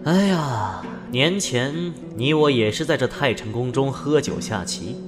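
A male actor plays a chatty, teasing immortal in a fantasy audio drama. His mid-pitched voice swoops with wry disbelief and nosy curiosity, over clear background music. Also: lightly acted. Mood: wry, musing. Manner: animated, wry, drawn-out musing. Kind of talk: Chinese costume fantasy audio drama acting.

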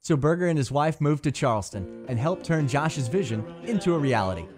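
A man's voice: a little high, animated, brisk, music in the background. A man giving scripted narration for a feature about a local cafe.

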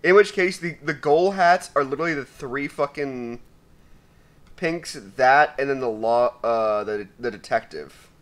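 A young man with a raspy voice giving casual, profane commentary while opening loot, swinging between mumbling and shouting. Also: medium pitch, a little slow. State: raspy from sneezing. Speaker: gaming YouTuber.

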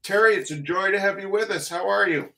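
A man's voice: sits high, fast talker, gravelly, sharp tone. A middle-aged man hosting an art talk show over a video call, with an exuberant, showman-like way of talking. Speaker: host. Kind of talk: lively video-call interview. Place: home studio room, indoors.

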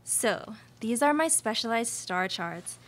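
A woman's voice: on the high side, animated, slow pace, background noise. A young woman playing a cheerful New Age hippie in a radio comedy, breezy and gushing, peppering her talk with filler slang. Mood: dreamy, cheerful. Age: young adult. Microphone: stage microphone on stand.

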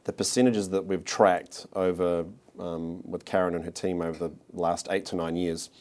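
A man's voice: deep voice, fairly even intonation, moderate pace, darkish tone. A man in his forties with a New Zealand accent, a marketing executive answering interview questions with frequent fillers and restarts. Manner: hesitant, many restarts and fillers. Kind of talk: corporate interview. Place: office studio set.

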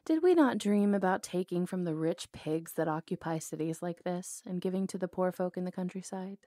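A bold outlaw cowgirl played by a woman speaks low and mellow, very close and dry on the mic. She is sardonic, flirtatious and lovelorn, in the intimate style of an ASMR audio roleplay. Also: moderate pace, dry recording, natural voice. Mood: scornful.

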